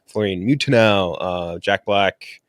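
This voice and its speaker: A man's voice: low voice, takes it slowly, dry recording, studio quality. A man hosting a podcast, chatting casually and bluntly about films in an informal way. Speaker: podcast host reviewing movies. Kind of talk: casual movie-review chat.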